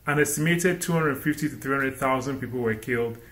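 A man's voice: medium pitch, a little fast, a bit bright. A young man from Ghana gives an inspirational sermon as a guest speaker. He speaks with animation and keeps asking the listeners rhetorical questions. The room around him has a noticeable echo. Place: indoor room against a plain wall. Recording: roomy echo.

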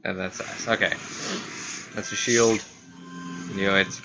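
A man with a fairly low voice hosts a gaming video in a casual, off-the-cuff way. He rambles and reacts to himself as he plays. The home recording has clear background noise. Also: level tone, takes it slowly. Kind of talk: casual gameplay commentary.

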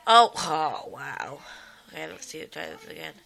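A woman's voice: deep voice, wildly swooping pitch, measured pace, vocal fry, a bit bright. A young girl who is sick, casually chatting as she plays a game at home, recorded on a phone filming the screen, rambling with lots of "um" and "like". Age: child or young teen. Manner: rambling, frequent "um" and "like", exclaims at the game. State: sick, voice a bit rough. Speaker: gameplay vlogger. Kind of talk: casual gameplay chat. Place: home room by a computer. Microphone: phone camera filming a screen.

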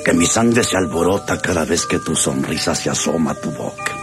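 An actor playing a rich, spoiled, lovesick hacienda owner with a Mexican accent and florid manner in a radio drama. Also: male voice, extremely low pitch, normal speed, over music. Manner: florid, blustering.

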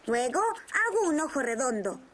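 A woman's voice: high voice, very animated, normal speed. A woman voicing a cheerful, helpful young boy rabbit in a children's cartoon, encouraging and explaining things step by step. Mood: cheerful, instructive.

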